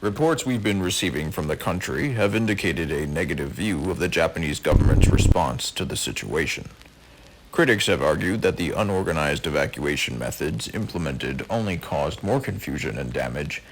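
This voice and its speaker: A low-voiced formal TV anchor. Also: male voice, lively intonation, slight background noise, rough recording. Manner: formal, measured delivery. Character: measured male TV news anchor, formal. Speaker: news anchor. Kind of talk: scripted 1980s TV news broadcast.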